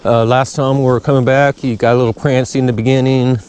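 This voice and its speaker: A calm, plain-spoken man explains horse training from the saddle, his mellow voice caught by a head-mounted action camera outdoors. He talks at an easy pace with plenty of fillers. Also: medium pitch, fast, a little hiss or hum. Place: outdoors on a wooded trail, riding a horse.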